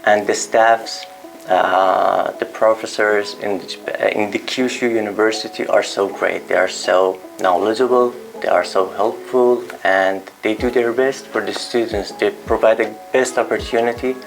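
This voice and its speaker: A man's voice: lowish voice, steady tone, a little slow, creaky, poor audio. A young man, a visiting international student, speaking earnestly and emphatically about his experience, with frequent fillers. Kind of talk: interview, personal testimony. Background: faint music.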